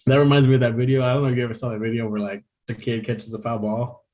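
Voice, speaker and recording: A young man with a mid-pitched voice talks through sports news in a loose, rambling way. He laughs often and leans on casual fillers as he chats with his co-host. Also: little pitch movement, racing, a little mellow. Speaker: podcast co-host. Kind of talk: casual chat.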